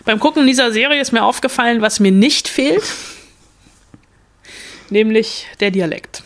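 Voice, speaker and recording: A woman's voice: a little high, moderate pace. Chatty woman reviewing a series. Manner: rambling with frequent fillers and restarts. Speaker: film critic podcast co-host. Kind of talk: casual chat, reviewing a series.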